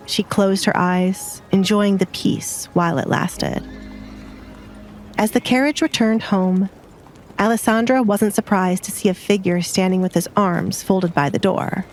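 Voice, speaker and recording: A female storyteller with a medium-pitched voice narrates a romance audiobook. Her scripted third-person reading sits over faint background music. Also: measured pace.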